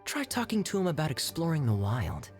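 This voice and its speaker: A man voicing a suave, teasing knight captain in a video game, smooth-talking, sly and playful. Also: a little high, huge pitch swings, brisk, darkish tone, faint music behind. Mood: friendly, sly.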